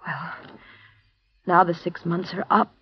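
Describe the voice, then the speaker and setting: A woman's voice: on the low side, fairly even intonation, slow, breathy edge, heavily acted. An actress playing a frightened young woman who speaks anxiously and hesitantly, in a vintage radio drama. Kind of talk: 1950s radio drama acting. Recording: dull lo-fi vintage radio broadcast.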